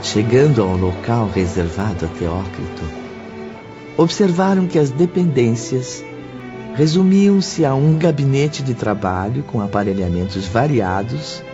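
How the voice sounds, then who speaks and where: Male Brazilian narrator for spiritual audio novel: solemn, scripted, animated intonation, music underneath. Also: mid-range voice, close to an everyday voice. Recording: muffled, music bed behind.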